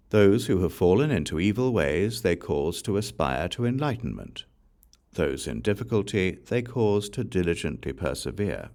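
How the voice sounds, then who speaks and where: A man reading scripture aloud as an audiobook narrator, solemn and formal, in measured phrases that fall away at the ends. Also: sits low, darkish tone. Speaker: audiobook reader.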